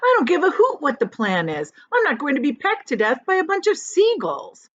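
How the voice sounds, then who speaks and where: A woman's voice: high voice, wildly swooping pitch, moderate pace, lo-fi sound, voice pushed up. A woman voicing a timid, doom-wailing earthworm from a storybook, fretful and drawn out. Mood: indignant.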